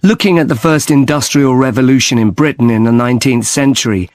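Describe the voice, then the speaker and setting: A man's voice: medium pitch, fairly quick, darkish tone, no room sound, lightly acted. Man acting as a thoughtful student, dry sound. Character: thoughtful, sceptical male university student. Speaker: student in a scripted discussion. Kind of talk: scripted exam dialogue.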